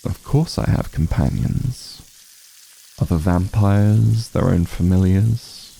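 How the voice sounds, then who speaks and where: A man's voice: sits low, slow pace, creaky, dark tone, slight background noise, heavily acted, low-quality recording. A man playing an ancient, aloof vampire patriarch in an ASMR fantasy roleplay, commanding yet honorable, speaking in formal, haughty, archaic phrasing. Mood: measured, proud.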